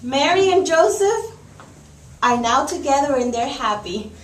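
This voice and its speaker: A high-voiced woman narrates a live children's puppet show at an unhurried pace. She is recorded from a distance in an echoey, noisy room. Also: expressive.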